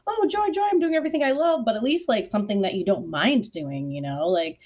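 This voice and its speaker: A woman talks casually and eagerly as a panelist on a video call. Her voice sits at a medium pitch, and her phrasing is informal and chatty. The sound is dull and lo-fi. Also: animated, quick pace, darkish tone. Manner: enthusiastic, informal, peppered with "like". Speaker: theater artist on a panel. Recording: dull, lo-fi online call audio.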